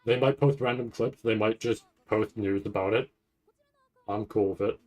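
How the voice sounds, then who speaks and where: A man giving casual, rambling live commentary as a streamer, halting and restarting often as he thinks aloud. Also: on the low side, fairly even intonation, darkish tone. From Canada. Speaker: streamer reacting to a live anime event.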